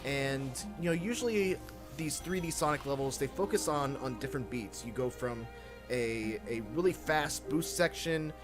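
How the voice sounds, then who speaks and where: A man presenting a casual games feature, talking his impressions through in a relaxed way with frequent fillers. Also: medium pitch, normal speed, music in the background. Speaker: games journalist.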